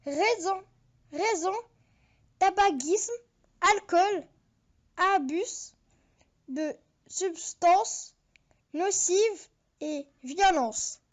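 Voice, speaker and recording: Childlike video host, very high-pitched, informal countdown read in halting phrases with chummy asides to viewers.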